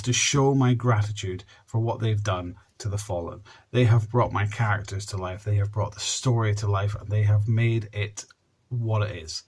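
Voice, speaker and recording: Earnest man hosting. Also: mid-range voice. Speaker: host of a storytelling podcast. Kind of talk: presenter introduction to camera or audience.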